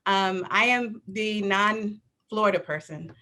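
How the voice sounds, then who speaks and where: A woman from Detroit, a panelist introducing herself on a video call in a gracious, measured way. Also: medium pitch, pushed and bright.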